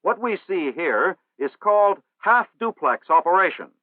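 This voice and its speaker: A man narrating a military training film in a formal, measured, instructional manner. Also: high voice, very animated, no room sound. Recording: old 1960s film soundtrack, tinny.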